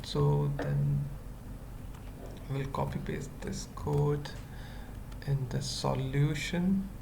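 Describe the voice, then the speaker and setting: A tutorial presenter thinking aloud in halting, murmured fragments during an informal coding screencast. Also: mid-range voice, wildly swooping pitch, creaky, background noise. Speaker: web development tutorial presenter.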